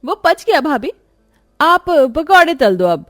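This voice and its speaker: A woman's voice: sits high, very animated, slow pace, no echo, clean studio sound, voice pushed up, heavily acted. A woman voicing five greedy, bossy young sisters-in-law who keep demanding food. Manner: bossy, whiny, loud.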